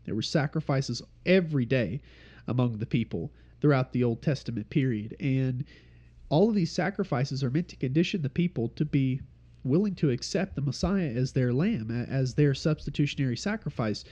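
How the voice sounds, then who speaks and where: A man's voice: mid-range voice, expressive, normal speed, mellow, highs cut off. A man leading an informal Bible study, explaining steadily and repeating key points, with a congested, stuffy nose.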